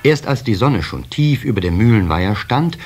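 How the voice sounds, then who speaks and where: A man narrating a children's radio play in a measured storyteller's delivery. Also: fairly low pitch, animated, a little mellow, breathy edge, faint music behind. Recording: slightly hissy old cassette recording.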